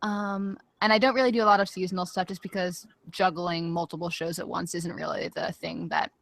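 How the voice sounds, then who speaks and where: A woman's voice: fairly low pitch, steady tone, fairly quick, a bit bright. A woman who is a guest on a casual podcast and reviews anime, speaking upbeat and laughing often.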